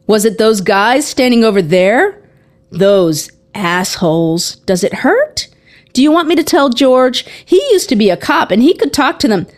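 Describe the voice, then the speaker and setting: A woman's voice: a little high, lively intonation, unhurried. A woman voicing a younger sister in a story, a worried, skeptical girl who questions bluntly. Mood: concerned.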